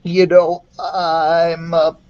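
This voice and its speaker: A man's voice: on the high side, monotone, very slow, muffled recording, pitched above the performer's own voice. A man voicing a nervous, remorseful young man who stammers sheepishly, read into a built-in laptop mic.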